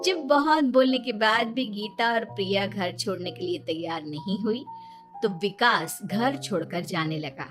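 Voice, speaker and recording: A woman's voice: medium pitch, a little slow, a bit bright, faint music behind. A woman narrating a scripted children's story as its storyteller.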